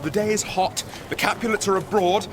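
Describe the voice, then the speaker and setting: Man acting a cautious young peacemaker, high voice. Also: fairly even intonation, a little fast, noisy background, close to an everyday voice. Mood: uneasy. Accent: British. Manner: reasoning, urging. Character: cautious, sensible young nobleman Benvolio, anxious peacemaker. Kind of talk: Shakespearean radio drama acting.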